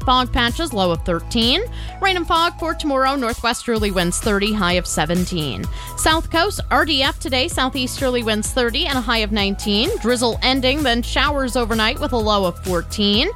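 Crisp female radio weather reader. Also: on the high side, a bit bright. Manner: crisp, measured reading of a list. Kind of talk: scripted weather forecast.

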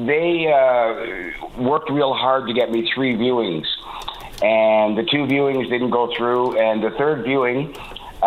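A man in his sixties from Toronto, calling in to a radio show, talking haltingly with many fillers and restarts, a bit congested from a cold. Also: medium pitch. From Toronto, Canada. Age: 60s. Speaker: caller. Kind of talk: call-in radio talk. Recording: tinny phone line.

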